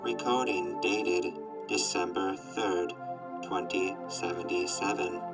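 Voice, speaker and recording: A man voicing a glitchy robot assistant that makes flat, formal machine announcements. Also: low voice, slow pace, loud music behind the voice. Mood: flat, procedural. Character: glitchy deadpan robot assistant, helpful but unsettling.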